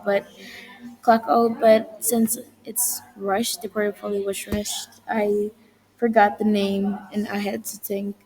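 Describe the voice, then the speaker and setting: Young woman, level flat delivery, student presenting, rambling list. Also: medium pitch, low-quality recording. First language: Indonesian. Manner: rambling listing with frequent self-corrections. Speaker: student presenting her portfolio. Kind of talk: casual presentation walkthrough.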